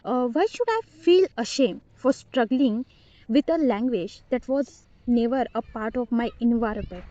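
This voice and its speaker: Earnest young woman, soft-toned. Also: on the high side, animated, a little slow, no echo, muffled recording. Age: young adult. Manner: hesitant, earnest, with restarts and grammar slips. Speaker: vlogger learning English. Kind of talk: personal vlog monologue.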